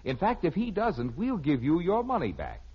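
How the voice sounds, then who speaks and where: A man's voice: fairly high pitch, wildly swooping pitch, normal speed, breathy edge. A man with an American accent delivering a folksy, persuasive 1950s radio commercial as an announcer. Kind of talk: 1950s radio advertising pitch. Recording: dull old radio recording.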